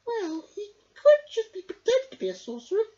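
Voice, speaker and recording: A high, shrill storybook witch, knowing and teasing. She gives orders in an emphatic sing-song. The sound is a touch lo-fi. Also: wildly swooping pitch, brisk, warm tone, full character voice. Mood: brisk, instructing. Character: knowing cave-dwelling witchy sister, brisk and teasing. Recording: dull lo-fi home recording.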